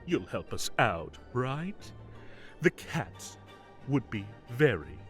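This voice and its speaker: A man playing a mischievous, evasive fae king who is secretly fond, theatrical and halting when flustered, in an audio roleplay. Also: mid-range voice, big pitch swings, soft background music. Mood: eager, scheming. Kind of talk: scripted audio roleplay acting.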